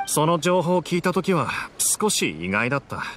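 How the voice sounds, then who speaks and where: A man's voice: a little high, big pitch swings, moderate pace, a bit bright, slight background noise. A man playing a mild, polite young townsman in a video game, speaking hesitantly and thoughtfully. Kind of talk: video game dialogue acting.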